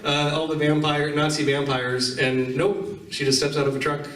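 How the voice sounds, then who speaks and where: Distant, echoey recording; man with a slightly high voice, casual panel host addressing a room. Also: little pitch movement, normal speed, fairly bright tone. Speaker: panel host at a gaming expo. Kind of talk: casual panel talk with audience questions.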